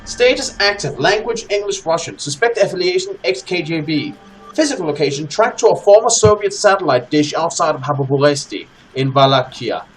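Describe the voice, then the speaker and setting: A man hosting a gaming let's play, chatting casually to his audience and reading game text aloud in an animated way. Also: fairly high pitch, brisk, faint music behind, poor audio.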